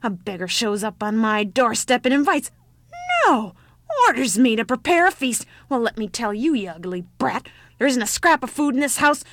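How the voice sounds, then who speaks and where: A cantankerous old woman from a storybook, as a female narrator acts her out. She is tart, growling and indignant, scolding with a gruff peasant bluntness. The recording is dry and close. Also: medium pitch, brisk, fairly bright tone, no room sound, close to an everyday voice. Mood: outraged. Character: cantankerous old peasant woman, grumpy but secretly soft. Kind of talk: storybook character voice.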